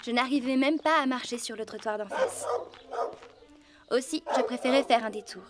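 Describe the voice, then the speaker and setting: A woman voicing a kind, earnest teenage girl rider in a French cartoon dub, expressive and encouraging. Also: a little high, normal speed, very noisy, close to an everyday voice. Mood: earnest, reassuring.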